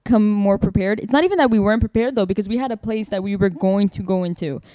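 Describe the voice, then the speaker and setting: A woman in her twenties, co-hosting a podcast and talking in a relaxed, reflective way. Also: medium pitch, fast talker, no room sound, clean studio sound. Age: 20s. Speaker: podcast co-host. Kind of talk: casual chat.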